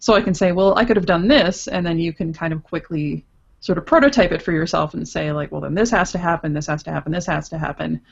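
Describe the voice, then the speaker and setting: Woman with a lowish, muffled voice. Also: quick pace, creaky, slightly rough. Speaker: podcast guest, interactive fiction writer. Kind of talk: casual podcast discussion.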